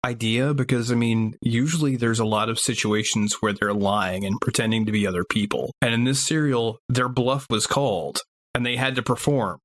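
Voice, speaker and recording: A man's voice: mid-range voice, fairly even intonation, moderate pace. A man from the American South chatting casually as a podcast co-host. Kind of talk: casual chat.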